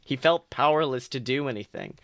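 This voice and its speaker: A male podcast host reading his fan fiction aloud in lively, dramatic narration. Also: mid-range voice, a little fast, dry recording.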